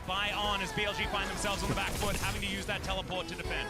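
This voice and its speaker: A man's voice: sits high, rapid-fire, loud music behind the voice. A man giving live play-by-play commentary on an esports match, his delivery building toward urgency with the action. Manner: builds to urgent, excited calls during action.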